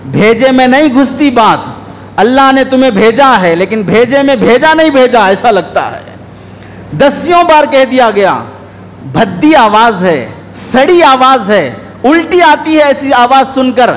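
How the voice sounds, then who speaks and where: High-pitched male preacher in an echoey hall. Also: animated, measured pace, a little hiss or hum. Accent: Indian. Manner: scolding, exhorting with rhetorical questions. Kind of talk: sermon. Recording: echoey hall with long reverb.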